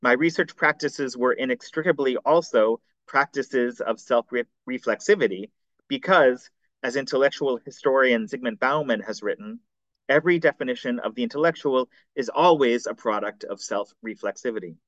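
A man, a scholar reading a prepared seminar paper aloud in a measured, formal way. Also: mid-range voice, a bit bright, dry recording. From United States. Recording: dull, dry recording.

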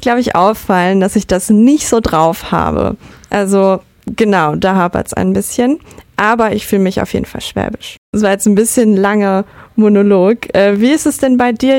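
A woman's voice: mid-range voice, creaky, darkish tone, no echo, studio quality. A young woman whose first language is Russian, co-hosting a casual podcast chat and talking with many hesitations. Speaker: podcast co-host.

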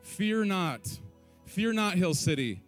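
A man's voice: high-pitched, very animated, takes it slowly, faint music behind. A man leading a church service, urging the congregation with call-and-response lines and joking asides, then praying. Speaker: worship leader. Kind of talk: church stage exhortation and prayer. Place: church sanctuary.

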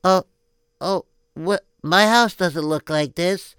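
A man's voice: sits high, slow pace, a bit bright, clean tone, no room sound, clean studio sound, voice pushed up, exaggerated voice. A man doing a timid, stammering little girl. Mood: bewildered. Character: frightened little girl, about six.

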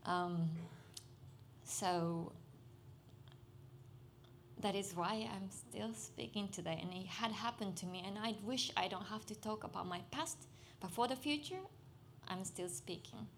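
A young adult Japanese woman giving a personal testimony in English on a festival stage, halting and searching for words, soft and earnest. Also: medium pitch, a little hiss or hum. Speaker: speaker at a literary festival.

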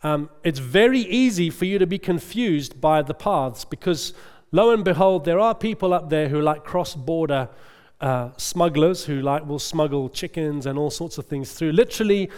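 A man's voice: on the high side, expressive, moderate pace, darkish tone, clear, resonant tone. A middle-aged male preacher giving a conversational sermon, chatty and often checking in with the congregation. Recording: long reverb of a large hall.

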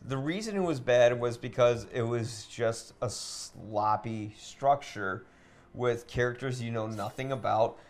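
A man's voice: mid-range voice, unhurried. A man talking as a podcast co-host in a casual debate, earnest and argumentative.

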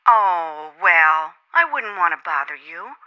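A woman's voice: lowish voice, lively intonation, slow, bright, forceful tone, smooth, heavily acted. Piercing, hesitant young American woman. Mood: hesitant, downcast. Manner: polite, hesitant. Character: polite, hesitant young female aspiring writer. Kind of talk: 1950s American radio drama acting. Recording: dull, band-limited telephone voice on vintage radio.

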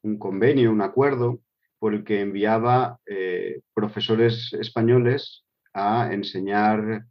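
Man deep-voiced, hesitant, dull lo-fi sound. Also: steady tone, slow pace. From Switzerland. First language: Spanish with German. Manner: hesitant, many fillers and restarts. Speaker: interviewee. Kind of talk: casual interview answers. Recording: dull lo-fi recording.

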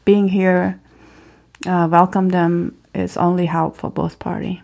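Woman, middle-aged, Cambodian accent, slightly low voice, earnest and encouraging, reflective interview talk. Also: little pitch movement, slow, gravelly, a little mellow. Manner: earnest, encouraging, with simple non-native grammar. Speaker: former refugee and speaker.

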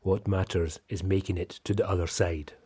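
A man's voice: low voice, steady tone, moderate pace, dark tone, slightly rough. A man playing an earnest migrant making short poetic declarations in a radio play.

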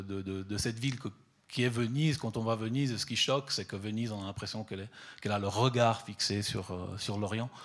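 A middle-aged man from southern Italy speaks about his work in a fairly low voice. He gropes for words, repeating himself and filling gaps with hesitations. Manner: halting, many fillers and repeated words. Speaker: photographer. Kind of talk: hesitant conversational talk at an exhibition.

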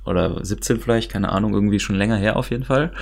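A man's voice: fairly low pitch, fast talker, vocal fry. A young man, chatting casually as a podcast host. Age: young adult. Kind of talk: casual chat.

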